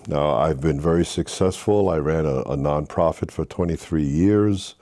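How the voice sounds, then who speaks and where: An older man from Haiti, a guest telling his life story with earnest faith, with halting restarts. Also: low-pitched, mellow, no echo, studio quality. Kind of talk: interview, personal story.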